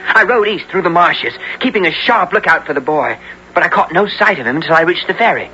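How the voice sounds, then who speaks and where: A man's voice: high voice, animated, a little fast, bright, forceful tone, slight background noise. An actor playing an earnest, questioning orphaned youth who narrates his adventure in formal archaic speech, in a 1970s radio drama. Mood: earnest narrating. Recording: dull vintage radio broadcast, music behind.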